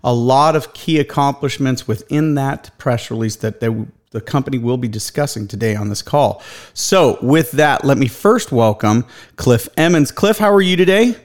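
A man's voice: medium pitch, lively intonation, moderate pace. A man hosting a corporate investor call in a formal, emphatic manner. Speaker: host of an investor conference call.

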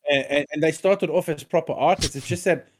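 A man's voice: on the high side, a little fast. A man co-hosting a casual podcast, ranting bluntly and swearing, with frequent restarts. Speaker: podcast co-host. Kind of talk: casual chat.

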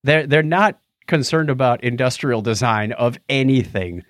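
A man's voice: mid-range voice, normal speed, no room sound, studio quality. A man hosting a podcast, chatting casually and jokily about tech news with theatrical, self-deprecating announcing. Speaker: podcast host.

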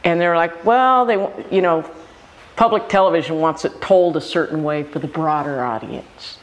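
A man about sixty from Hawaii talks as an interview guest about his film work. He tells stories animatedly, playing out quoted exchanges. His voice sits a little high for a man. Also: moderate pace, poor audio. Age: around 60. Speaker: filmmaker guest. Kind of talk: interview answers.

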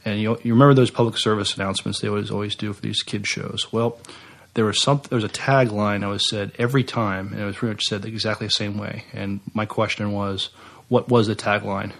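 A man co-hosting a podcast, chatting casually in hesitant, halting phrases. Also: fairly low pitch, little pitch movement, vocal fry. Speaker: podcast co-host. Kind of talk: casual unscripted podcast chat.

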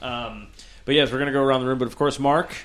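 A man's voice: mid-range voice, fairly even intonation, fast, a bit bright. A man co-hosting a podcast, talking in a rambling, jokey way with friends around the table. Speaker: podcast co-host. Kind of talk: casual chat.

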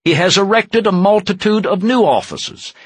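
A man giving a formal, measured, declamatory reading of an eighteenth-century document, like an orator. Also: high-pitched, husky, no echo. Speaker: historical interpreter reading aloud.

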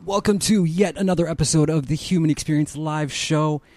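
A man's voice: fairly high pitch, brisk, soft background music. A man hosting a podcast, introducing his guest and asking questions with enthusiasm. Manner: enthusiastic, rising into excited questions. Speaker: podcast host. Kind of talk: podcast interview.

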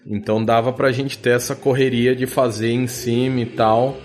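A man's voice: medium pitch, level tone, normal speed. A man with a Brazilian accent talking casually as a podcast guest, informal, a bit sweary and self-deprecating. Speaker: podcast guest, audio drama producer. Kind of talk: casual chat.

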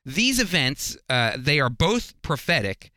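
A man's voice: a little high, sing-song, measured pace, bright, forceful tone, no echo. A man hosting a religious radio program, teaching the Bible in a conversational, earnest, explanatory way with emphasis.

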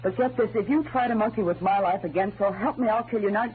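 A woman playing a sultry, sharp-tongued nightclub singer in a 1940s radio drama. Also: mid-range voice, quick pace, narrow, tinny audio, natural voice. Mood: hostile, threatening. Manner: cool, sardonic. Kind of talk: 1940s radio noir acting. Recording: thin, noisy old radio recording.

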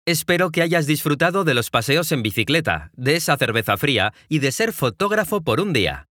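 Lively, bright-voiced young Mexican man. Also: a little high, a little fast, no room sound, clean studio sound. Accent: Mexican Spanish. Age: 20s. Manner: clear, friendly, addressing the listener directly. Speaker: podcast host. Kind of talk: scripted narration for language learners.

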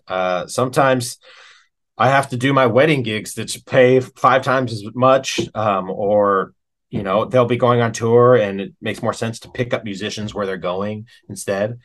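An American man with a medium-pitched voice speaks casually as a musician being interviewed. He is candid and reflective, using loose, informal phrasing. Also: fairly bright tone. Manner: informal and candid, with frequent "man" and "you know". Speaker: interview guest, working keyboard musician.

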